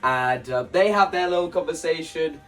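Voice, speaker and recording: A man's voice: fairly high pitch, moderate pace, a bit bright, far from the mic, soft background music. A man talking casually in an enthusiastic, rambling way, often interrupting himself as he explains his ideas. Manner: enthusiastic, rambling, self-interrupting, acts out quoted lines. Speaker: video creator. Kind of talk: casual explanatory vlog about staging a musical.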